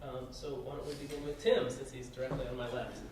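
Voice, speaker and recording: A man's voice: mid-range voice, level tone, quick pace, heavy background noise. A man moderating a conference panel, reading the speakers' bios aloud with frequent "uh" fillers. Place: conference room. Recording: very echoey room, heard from a distance.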